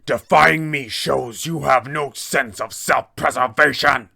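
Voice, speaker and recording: A man voicing a vengeful undead male knight, speaking slowly and menacingly with a gravelly, husky voice. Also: medium pitch, sharp tone, exaggerated voice. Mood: wrathful. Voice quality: gravelly, slow menacing delivery.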